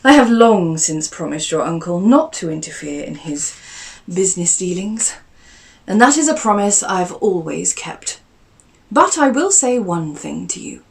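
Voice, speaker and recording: A woman's voice: fairly low pitch, sing-song, unhurried, vocal fry, far from the mic, full character voice. Woman doing a prim, fond aristocratic aunt.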